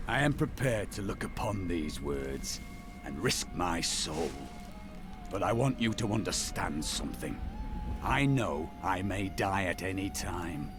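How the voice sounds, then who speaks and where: Slow, earnest, devout holy warrior. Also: male voice, deep voice, lively intonation. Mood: solemn, earnest. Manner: measured, formal, earnest. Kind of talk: fantasy game voice acting. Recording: music behind the voice.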